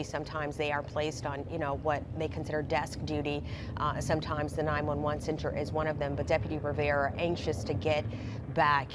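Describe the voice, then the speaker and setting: A woman's voice: deep voice, background noise. A woman anchoring live breaking news coverage, talking conversationally with frequent fillers and restarts.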